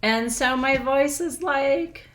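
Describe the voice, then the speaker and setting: A middle-aged woman with a froggy, hoarse voice, hosting a live online ritual from her small house in casual, bubbly chat, laughing often. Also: high-pitched, takes it slowly, fairly bright tone. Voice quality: froggy, husky. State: hoarse, nearly lost voice. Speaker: spiritual teacher hosting a live full moon ritual.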